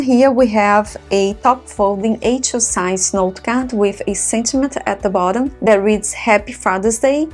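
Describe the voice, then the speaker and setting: A woman whose first language is Portuguese, calmly narrating a step-by-step card-making tutorial with detailed explanations. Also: mid-range voice.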